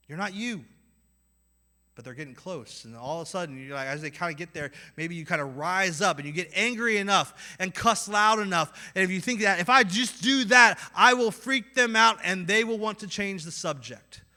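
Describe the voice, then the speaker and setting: A man with a Midwestern accent preaching a sermon, acting out inner voices and swinging between loud and quiet. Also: high voice. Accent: Midwestern American. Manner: animated, acts out inner voices with swings in loudness. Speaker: pastor.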